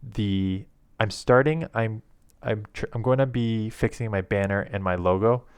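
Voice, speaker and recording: A man, rambling casually to camera in a monotone, low-key way on an old headset mic at his home desk. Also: a little slow, clean tone, no echo. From Canada. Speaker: YouTube content creator. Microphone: old headset microphone.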